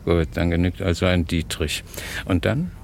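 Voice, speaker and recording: An actor playing a cunning, smug blackmailer in a vintage German radio crime drama, with a gravelly, insistent, taunting delivery. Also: male voice, sits low, normal speed. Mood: probing. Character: cunning, smug male blackmailer and hotel thief. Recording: noisy old radio drama recording.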